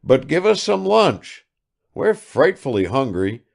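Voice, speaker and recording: A man reading aloud and voicing a lounging, self-indulgent young gentleman who speaks in a grand manner. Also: mid-range voice, very animated, slow pace, vocal fry, pitched above the performer's own voice, full character voice. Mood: self-satisfied.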